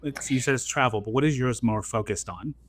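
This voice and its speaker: A man's voice: mid-range voice, fairly quick. Enthusiastic male podcast host. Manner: enthusiastic, announcer-like in the intro. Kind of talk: upbeat scripted intro and interview questions.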